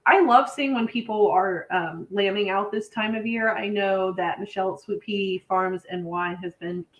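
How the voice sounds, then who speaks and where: A woman's voice: mid-range voice, moderate pace, a bit bright, rough recording. An American woman chatting casually and enthusiastically as a livestream co-host, with a giggly, talkative manner. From Indiana, United States.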